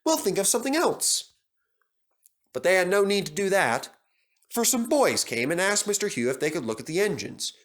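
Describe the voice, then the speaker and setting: A man's voice: sits high, very animated, brisk, a bit bright. A man reading a scripted children's story as narrator.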